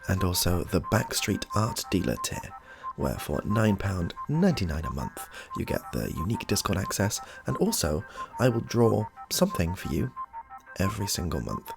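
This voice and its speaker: British man, deep, lively creaky voice. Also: moderate pace, music in the background. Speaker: video creator introducing his roleplay video. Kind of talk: casual talk to viewers.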